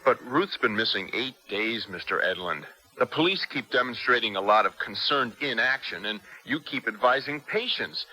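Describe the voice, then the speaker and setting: An actor playing an anxious, determined young American student in a radio drama, speaking with urgency and intensity. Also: male voice, mid-range voice, expressive, normal speed, pushed and bright, low-quality recording. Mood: worried, frustrated. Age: young adult.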